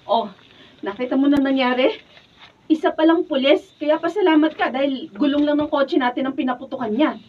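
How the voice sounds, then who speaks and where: Woman acting a fretful scolding filipino wife in radio drama, high pitched, far from mic. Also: a little fast, slight background noise, close to an everyday voice. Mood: relieved, chiding. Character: anxious, scolding wife pleading for peace. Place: radio drama recording studio. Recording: dull, distant behind-the-scenes studio video.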